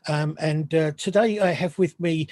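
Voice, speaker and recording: A man's voice: fairly high pitch, moderate pace, a little mellow, no echo. A man hosting a music podcast, chatting casually, with many restarts and apologetic asides. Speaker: podcast host. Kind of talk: casual interview chat.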